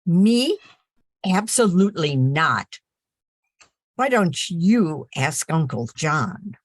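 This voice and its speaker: Sharp-tongued country sister for a radio play, older actress, deep for a woman, dry close sound. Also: sing-song, slow pace, dry recording, full character voice. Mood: exasperated, firm. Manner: folksy, firm. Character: sensible, sharp-tongued farm-raised sister of a gambler. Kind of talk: 1940s radio play acting.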